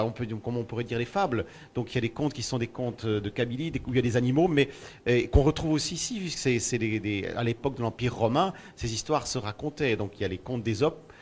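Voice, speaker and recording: A man's voice: mid-range voice, a little hiss or hum. A man born in Belgium who works as a storyteller, answering interview questions in a thoughtful way and often repeating words while he looks for the next one. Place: outdoors at a festival.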